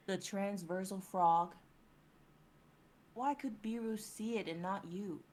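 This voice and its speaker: A woman's voice: medium pitch, slow pace, a little mellow. Woman playing Nova, a worried, loving companion. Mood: questioning. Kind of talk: dramatic reading of a fantasy script.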